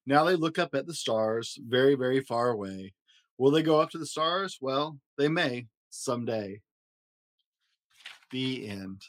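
A man's voice: medium pitch, animated, unhurried. Man reading a children's storybook aloud. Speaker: podcast host and story reader.

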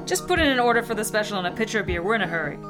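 A woman acting a brisk, dutiful Marine private in a comic radio play. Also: medium pitch, big pitch swings, close to an everyday voice. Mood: easygoing. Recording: music under the dialogue.

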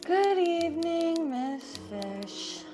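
Woman doing a fed-up jealous sitter girl, high voice, slow and swoopy, radio comedy. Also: very animated, dark tone, soft background music, full character voice. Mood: weary, curt. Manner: put-upon, exasperated. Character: weary, jealous young female dog sitter. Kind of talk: comedic radio play acting.

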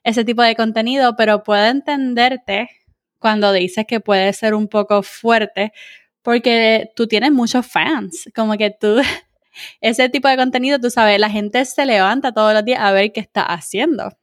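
A woman interviewer with a Puerto Rican accent and a fairly high voice. She talks in a chatty, encouraging way, dropping in English words. The sound is dry, with very little room in it. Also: fairly even intonation, measured pace, dry recording. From Puerto Rico. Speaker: interviewer, host. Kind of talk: casual conversational interview.

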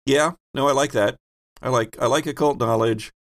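A man's voice: mid-range voice, steady tone, moderate pace, gravelly. A man hosting a tabletop game as game master in a casual, hesitant, playful way.

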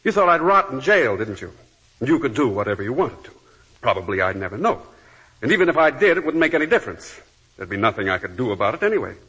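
An actor playing a bitter, jealous escaped convict in 1940s radio drama, with a sardonic, taunting manner and a raspy voice. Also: male voice, mid-range voice, very animated, fast, fairly bright tone. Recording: dull, lo-fi 1940s radio recording.

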